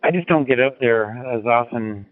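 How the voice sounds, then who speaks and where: A man's voice: medium pitch, normal speed, dry recording. A man chatting casually as an amateur radio operator, easygoing and encouraging. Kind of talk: casual ham radio chat. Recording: tinny narrowband radio transmission.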